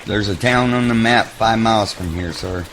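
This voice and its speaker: Low-voiced dutiful soldier played by a man. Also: moderate pace, fairly bright tone, a little hiss or hum, lightly acted, low-quality recording. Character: dutiful, practical army second-in-command. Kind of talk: audio drama acting.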